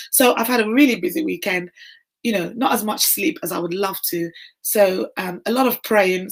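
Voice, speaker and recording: Hoarse fifties woman, warm encouraging livestream host, chatty. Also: medium pitch. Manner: warm encouraging, greets viewers by name. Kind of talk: casual encouraging livestream chat.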